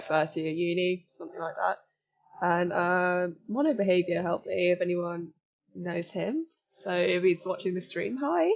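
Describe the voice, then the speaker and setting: A young woman in her twenties, slightly croaky, chatting casually and giggling as she walks viewers through a hacking exercise on a live stream. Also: lowish voice, lo-fi sound. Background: faint music.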